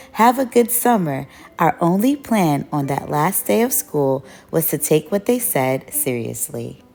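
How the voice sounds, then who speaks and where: A woman reading a picture book aloud as a storyteller, with expressive, measured narration. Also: low-pitched, creaky. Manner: measured storytelling, acts out children's quoted calls.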